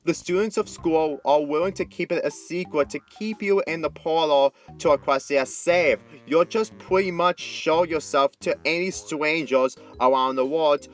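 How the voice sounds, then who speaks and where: A man's voice: a little high, moderate pace, hardly any pauses, faint music behind, pitched above the performer's own voice, natural voice. A man voicing an exasperated, worrying teenage girl who scolds sternly, in an amateur story reading. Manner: stern, anxious, ranting.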